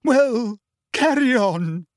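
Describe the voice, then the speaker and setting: A man voicing an insulted middle-aged witch seer, high-pitched and wavering, dramatic and self-pitying. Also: animated, slow, darkish tone, no room sound, clean studio sound. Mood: shrill.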